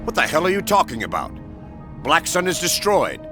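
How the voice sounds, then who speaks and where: A man's voice: on the high side, wildly swooping pitch, moderate pace, music in the background. A man voicing a stern military superior in a video game, giving orders with authority. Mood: exasperated.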